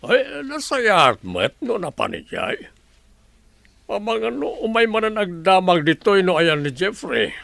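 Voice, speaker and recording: A man's voice: high voice, sing-song, a little slow, vocal fry, fairly bright tone, no room sound, full character voice. A man playing a troubled, brusque boss in a radio drama. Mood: brusque, irritated. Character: troubled, brusque male boss with a guilty conscience.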